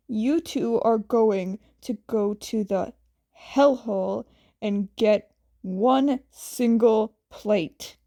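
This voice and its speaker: A woman's voice: mid-range voice, warm tone. A woman voicing an exasperated, bossy young man, a reluctant big brother, in slangy, grumbling lines from a fan fiction story.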